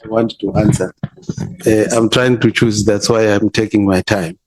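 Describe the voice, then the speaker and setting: Zimbabwe-born principal under questioning, low husky voice, halting, lots of uhs. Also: male voice, steady tone, a little mellow, rough recording. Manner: hesitant, halting, many fillers. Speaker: college principal. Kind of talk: answering questions in a committee hearing.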